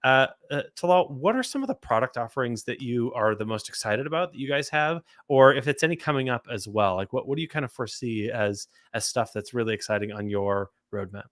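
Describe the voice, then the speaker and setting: Bright, dry-voiced livestream host. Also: male voice, medium pitch, a little fast, few pauses, dry recording. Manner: energetic, talkative, many fillers and repeats. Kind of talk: livestream interview hosting.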